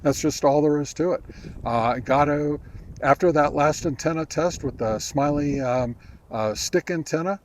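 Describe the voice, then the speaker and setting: Man giving a halting hands-on gear test. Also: fairly high pitch, a little hiss or hum. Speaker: host of a ham radio gear review channel. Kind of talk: casual product test narration.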